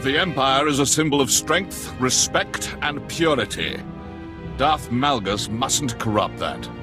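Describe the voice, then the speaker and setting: A man's voice: medium pitch, animated, measured pace, over music, natural voice. Man playing proud, zealous Imperial general. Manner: grandiose, loyal. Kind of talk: video game cutscene acting.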